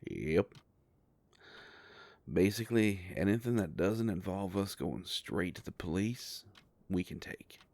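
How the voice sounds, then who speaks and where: A man playing a kindly, protective werewolf private investigator in an intimate audio drama, with a gravelly voice and an easygoing, folksy way of talking. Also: sits low, lively intonation, slow, pauses often, a little mellow, dry recording, exaggerated voice. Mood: reassuring, businesslike.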